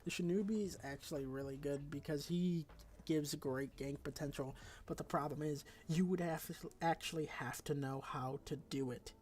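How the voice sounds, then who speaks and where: A congested man with a raspy voice talks casually through a game tier list, rambling along with fillers and self-corrections. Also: on the high side, moderate pace, darkish tone. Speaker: gaming video creator.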